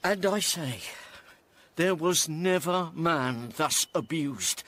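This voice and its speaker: High, stammering, desperate imprisoned gentleman. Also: expressive, slow pace. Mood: insistent. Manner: stammering, pleading. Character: desperate wronged gentleman locked in darkness, pleading sanity. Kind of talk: classical radio drama acting in Shakespearean English.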